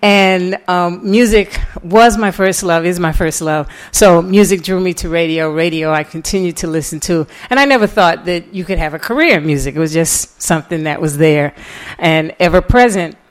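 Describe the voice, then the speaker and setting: A woman's voice: on the low side, normal speed. A middle-aged American woman from New York City giving an informal lecture, telling her own story in a conversational way. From New York City, USA. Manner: conversational, animated retelling with quoted dialogue and chuckles. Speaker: guest lecturer and author.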